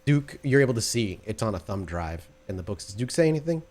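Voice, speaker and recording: A man's voice: lowish voice, sing-song, fast talker, a little mellow. A man narrating an improvised tabletop game as game master, descriptive and conversational.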